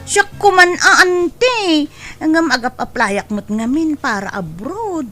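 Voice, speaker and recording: Woman playing a high, animated, envious young cousin. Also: takes it slowly, faint music behind, exaggerated voice. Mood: chatty. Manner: exclamatory, expressive. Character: hard-up, envious younger female cousin, chatty and pleading. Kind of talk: Ilocano comedy drama acting.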